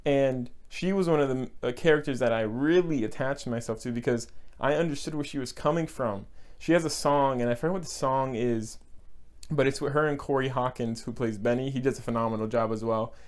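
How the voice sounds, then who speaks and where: A man's voice: mid-range voice, brisk. A young man from Texas giving an earnest, emotional film review, repeating words for emphasis and laughing now and then. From Texas, United States. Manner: breaks into short laughs. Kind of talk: casual movie review.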